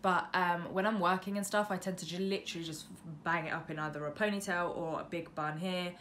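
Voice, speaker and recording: Female vlogger, mid-20s, British, low register, informal and self-deprecating chat, recorded by a window with light road noise. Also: fairly even intonation, brisk, fairly bright tone. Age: mid-twenties. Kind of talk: casual chat to camera, Q&A. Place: indoors, bedroom at a window facing a road. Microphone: camera on windowsill, about arm's length. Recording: noisy room by a busy road. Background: noise, passing traffic.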